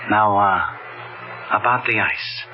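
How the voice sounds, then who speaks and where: A man's voice: fairly low pitch, takes it slowly. An actor playing a pushy, menacing gangster killer in an old-time radio drama, with slangy tough-guy talk. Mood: pushy, insistent. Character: brash, menacing gangster killer hunting stolen diamonds. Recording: muffled, noisy old radio drama broadcast.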